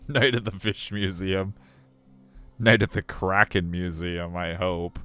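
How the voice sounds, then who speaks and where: A man's voice: sits low, little pitch movement, slow pace, a bit bright, clean tone. A man streaming a visual novel, narrating it aloud and dropping in casual asides. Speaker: streamer reading a game aloud. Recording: music behind the voice. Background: music.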